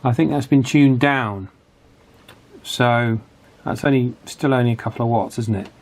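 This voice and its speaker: A man's voice: mid-range voice, darkish tone. Man talking through a repair. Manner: thinking aloud, hesitant pauses mid-phrase. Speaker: electronics repair vlogger. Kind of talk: hands-on radio repair demonstration, casual running commentary.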